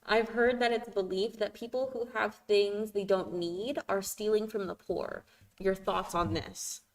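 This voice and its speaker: Casual female co-host, mid-pitched. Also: fairly even intonation, unhurried. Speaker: co-host reading audience questions. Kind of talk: casual live Q&A chat.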